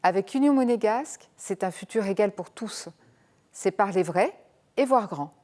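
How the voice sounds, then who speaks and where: A woman candidate making a measured, earnest campaign statement. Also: mid-range voice, animated, a little mellow.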